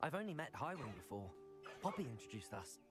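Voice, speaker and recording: A calm teenage student hero in a fantasy game. He speaks earnestly and plainly at a medium male pitch, with faint music behind him. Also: brisk. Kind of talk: scripted video game acting.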